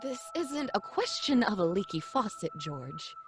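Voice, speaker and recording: A woman's voice: mid-range voice, sing-song, measured pace. An actress playing an anxious wife and mother in a live audio drama, uneasy and pleading, rising to panicked cries. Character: anxious adult wife Lydia, frightened mother. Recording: live stage recording with faint music.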